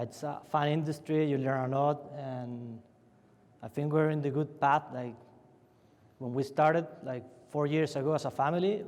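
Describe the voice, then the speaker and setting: A man's voice: fairly high pitch, a little mellow. A young man from Chile with a Chilean Spanish accent, speaking as a winery panelist in a loose, chatty way full of fillers and restarts. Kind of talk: conference fireside chat. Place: large conference hall. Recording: long hall reverb.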